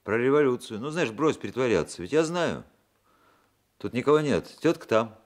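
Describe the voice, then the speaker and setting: An actor in a Soviet radio drama playing an earnest, probing educated gentleman, measured and quietly insistent. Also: medium pitch, very animated, close to an everyday voice.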